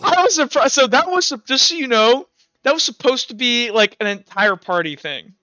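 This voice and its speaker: A man's voice: extremely high pitch, animated, bright, forceful tone, no room sound, highs cut off. A young man running a tabletop game as game master, chatty and stumbling, with lots of fillers. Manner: chatty, stumbling, quick asides with frequent fillers. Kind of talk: casual tabletop game chat.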